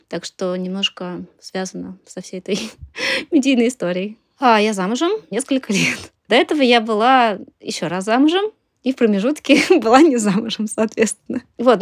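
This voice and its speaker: A woman's voice: medium pitch, no room sound, clean studio sound. A woman in her thirties speaking as a podcast guest in a casual interview, informal and hedging as she thinks aloud. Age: 30s.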